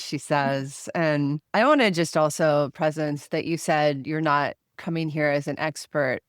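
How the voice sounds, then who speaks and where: A woman hosting a conversational interview, speaking in deliberate, rather formal phrases. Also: low-pitched, dry recording, clean studio sound. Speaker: podcast host.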